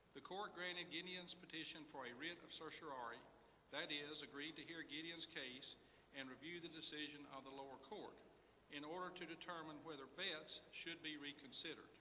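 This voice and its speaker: A man's voice: on the high side, bright, forceful tone. A man reading scripted narration aloud as narrator at a public event in a large hall. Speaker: narrator at a readers theater event. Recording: echoey hall, clear background noise.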